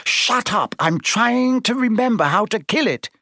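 A man voicing a bossy, anxious, quick-thinking schoolgirl witch in a storybook reading, with urgent, snappish delivery. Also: a little high, very animated, gravelly, slightly rough, no echo, studio quality, pitched above the performer's own voice, full character voice.

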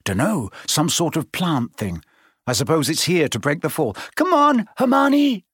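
A man's voice: fairly high pitch, animated, normal speed, slightly rough, no echo, studio quality. A man voicing a brave, plain-spoken young schoolboy wizard in a storybook reading, with a matter-of-fact manner.

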